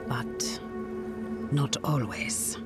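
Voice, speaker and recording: Haunted, slow-spoken companion. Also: female voice, very deep voice, heavily acted. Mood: troubled. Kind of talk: dramatic video game cutscene acting. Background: loud ominous game music.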